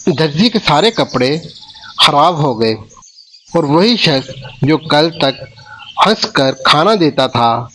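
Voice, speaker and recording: A man's voice: on the high side, a little hiss or hum, low-quality recording. A man narrating a moral cartoon story for children, speaking to them directly. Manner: slow, expressive, addresses children.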